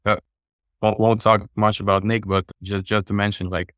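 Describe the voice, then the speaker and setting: Low, even-voiced Latvian man. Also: no echo, studio quality. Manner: halting, repeats short phrases. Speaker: podcast guest. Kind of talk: casual chat.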